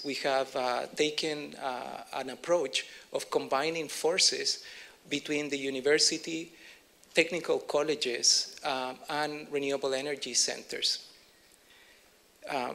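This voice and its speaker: A man from Chile with a Chilean Spanish accent, giving a conference keynote in a measured way with many pauses and fillers. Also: mid-range voice, creaky, pushed and bright. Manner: measured, filler-heavy delivery. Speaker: keynote speaker.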